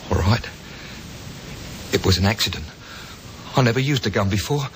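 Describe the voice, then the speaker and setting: A man's voice: lively intonation, moderate pace, fairly bright tone. A man playing a cornered small-time crook in a 1950s radio drama, slangy and agitated. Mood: anxious, confessing. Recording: old radio recording with noise, rumble.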